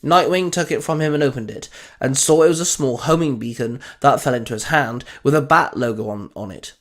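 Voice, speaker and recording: A man's voice: medium pitch, a little fast. A man reading scripted fan fiction narration as the storyteller.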